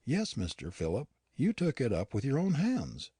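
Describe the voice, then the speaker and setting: A man's voice: mid-range voice, wildly swooping pitch, normal speed, dark tone, slightly rough, dry recording, studio quality, voice pushed up. A man voicing an older Yorkshire housekeeper woman, mournful, with homely dialect speech. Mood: mournful pleading.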